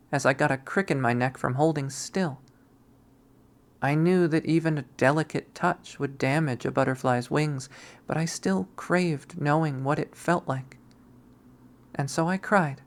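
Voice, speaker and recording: A reader voicing an obsessive, possessive young woman who collects insects, narrating in a measured, wistful, coldly sadistic way for a visual novel. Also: mid-range voice, lightly acted. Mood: wistful, aggrieved. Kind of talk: dramatic visual novel readthrough.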